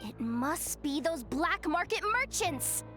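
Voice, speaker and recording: A woman's voice: high voice, very animated, takes it slowly, over loud music, exaggerated voice. A wry streetwise young woman. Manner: casual, wry. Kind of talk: video game voice acting.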